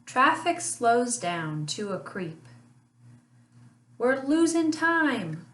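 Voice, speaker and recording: Slow, sing-song woman reading a picture book. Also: a little high. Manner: slow, sing-song rhyming read with lively honks. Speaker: family literacy teacher. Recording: echoey room, voice a bit far from mic.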